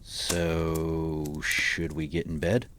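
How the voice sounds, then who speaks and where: A man's voice: a bass voice, completely flat delivery, extremely slow, a bit bright, exaggerated voice. A man playing a flirtatious guest lured into a dangerous tryst in an audio drama. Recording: clear music under the voice.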